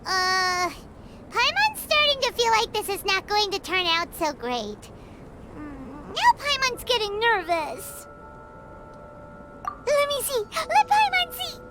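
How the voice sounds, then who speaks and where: A woman voicing a small, vain, excitable childlike fairy companion in a video game, squeaky and dramatic. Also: slow pace, heavy background noise, exaggerated voice. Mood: uneasy. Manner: refers to self in third person, dramatic. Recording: music behind the voice.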